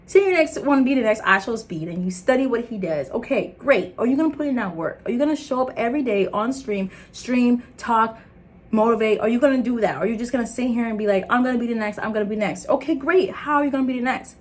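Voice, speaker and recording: A young woman with an American accent, born in Liberia and raised in the States, talking to camera in an earnest, animated motivational vlog. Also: quick pace. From Liberia, raised in the United States. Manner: earnest and animated, laughing, jumping into high excited bits. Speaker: content creator. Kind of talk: casual motivational vlog talk.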